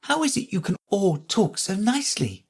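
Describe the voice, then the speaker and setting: Man doing a timid little girl, high and husky, polite questions, storybook voice. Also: sing-song, moderate pace, exaggerated voice. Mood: curious. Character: polite curious young girl.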